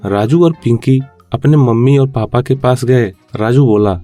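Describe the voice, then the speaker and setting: A man's voice: medium pitch, unhurried, dark tone, no echo. Male story narrator over music. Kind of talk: scripted children's story narration.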